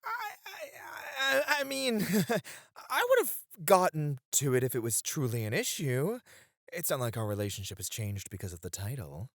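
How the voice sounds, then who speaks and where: A man's voice: on the high side, huge pitch swings, moderate pace, a bit bright, dry recording, clean studio sound, heavily acted. A man acting as a flirtatious, devoted bunny club host in an ASMR roleplay, with a teasing, courtly, eager-to-please manner. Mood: flustered.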